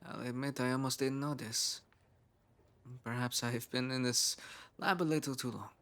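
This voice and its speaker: A man voicing a driven, earnest young male scientist of poor roots in an intimate ASMR roleplay monologue, musing in measured phrases with thoughtful pauses. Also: mid-range voice, fairly even intonation, vocal fry, a bit bright. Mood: softly amused.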